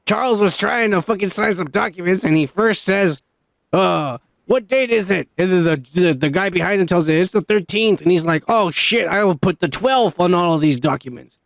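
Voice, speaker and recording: A man's voice: sits high, normal speed, creaky, no room sound. A man hosting a podcast, ranting casually with plenty of swearing and mockery. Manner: profane, mocking rant. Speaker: podcast host.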